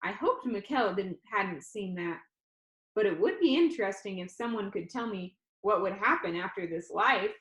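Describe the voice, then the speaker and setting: A woman's voice: mid-range voice, lively intonation, normal speed. A woman reading a first-person novel aloud as narrator. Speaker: audiobook reader. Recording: echoey room.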